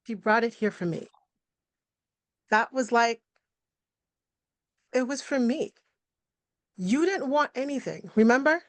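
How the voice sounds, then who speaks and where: An actress as a hurt young fiancée who has had enough, in a dark comedy script read. Her voice sits fairly high and sounds close and dry. She is accusing and sharp-tongued, with a weary edge. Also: expressive, takes it slowly, long pauses, dry recording.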